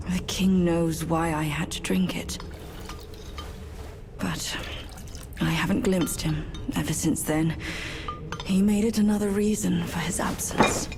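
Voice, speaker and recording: A woman voicing a proud, stern demoness queen and mother who speaks coldly and commandingly in a game cutscene. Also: lowish voice. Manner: cold and commanding. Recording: music behind the voice.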